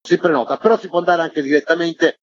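Male guest artist, enthusiastic and emphatic, rough husky tone, explaining his work in an interview, slightly dull sound. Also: on the high side, quick pace, no echo. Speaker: guest artist and exhibition curator.

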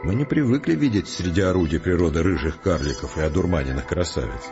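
An actor playing a calm, determined scientist who explains things earnestly and deliberately in an audio drama. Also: male voice, low voice. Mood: intense, philosophical. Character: resolute philosophical male scientist, calm, determined, reasoning patiently. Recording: muffled, with prominent music behind. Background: music.

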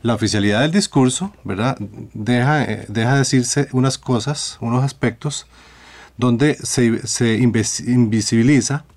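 Radio guest, male, Costa Rican accent, medium pitch, reflective and halting, circling back over his phrases. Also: darkish tone. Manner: halting, searching for words, repeating phrases. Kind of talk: reflective discussion in a radio interview.

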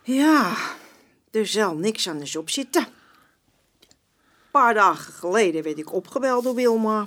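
A woman's voice: medium pitch, animated, slow pace, a bit bright. An actress playing a feisty, proud old woman in a radio drama, blunt and colloquial. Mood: upset, confiding. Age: older. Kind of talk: Dutch radio drama acting.

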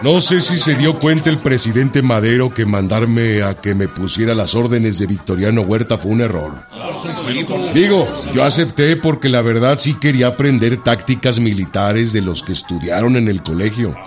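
An actor playing a rough, folksy Mexican revolutionary leader with a northern Mexican accent, plainspoken and defiant, in a radio drama. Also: male voice, medium pitch, normal speed, lightly acted. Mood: wry, proud. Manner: colloquial, earthy, swaggering. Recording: studio radio drama with music behind.

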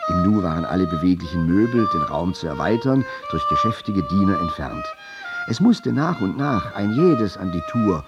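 An urbane literary narration for radio drama in a low male voice. The telling is measured and wry, on a slightly dulled recording with clear music underneath. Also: lo-fi sound.

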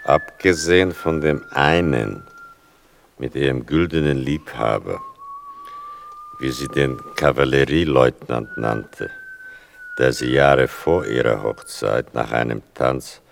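A grave, ironic son tells his story as narrator of a radio play. The voice is extremely deep and gravelly, with slow, deliberate literary phrasing. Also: faint music behind, exaggerated voice. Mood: wry, fond. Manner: measured, deliberate, dryly ironic.

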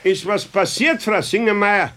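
An actor playing a gruff, good-hearted Bavarian master craftsman in a children's radio play, husky and exasperated. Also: high-pitched, measured pace, background noise. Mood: concerned. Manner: grumbling, exasperated, matter-of-fact. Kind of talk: 1970s German children's radio play acting.

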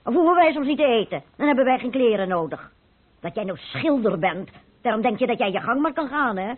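Husky, reproachful poor wife. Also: female voice, on the high side, very animated, a little fast. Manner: reproachful, plaintive, nagging. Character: worried, scolding wife of a penniless painter. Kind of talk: vintage radio play acting. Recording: muffled narrow-band old radio recording.

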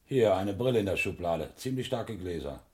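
A middle-aged police inspector in a radio crime play. His voice is low and rough-edged, with very lively intonation. He questions drily and methodically, in measured phrases. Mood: searching, matter-of-fact.

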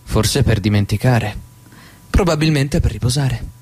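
Actor as a mysterious male guide, medium pitch, cryptic, theatrical, radio play. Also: measured pace, a little mellow, husky. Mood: defensive, familiar. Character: enigmatic male guide in a desert limbo, cryptic. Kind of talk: theatrical radio drama dialogue.

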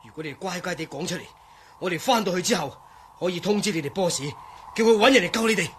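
A man acting a naive, excitable, talkative sidekick stranded in the desert in a Cantonese radio drama, exclamatory in manner. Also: sits high, fast, natural voice. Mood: agitated, threatening. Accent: Cantonese, Hong Kong. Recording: faint background noise.

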